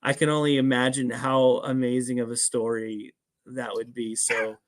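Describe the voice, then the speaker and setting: A man's voice: medium pitch, level tone, normal speed. Casual, modest man chatting as guest. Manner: modest, appreciative, informal. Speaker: podcast guest, fan audio drama producer. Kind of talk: casual interview chat.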